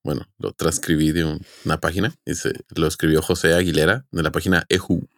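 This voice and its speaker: A man's voice: very deep voice, little pitch movement, a little fast, dry recording, clean studio sound. A man with a Mexican accent, a podcast host telling stories to friends in a jokey, colloquial way. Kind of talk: casual podcast storytelling with friends.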